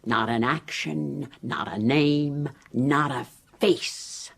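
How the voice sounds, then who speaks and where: A woman's voice: rumbling low, takes it slowly, creaky, breathy edge, heavily acted. An actress playing a menacing, vindictive old woman in a radio drama, speaking with ominous deliberation. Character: menacing, vindictive old woman, a tyrannical former prison wardress.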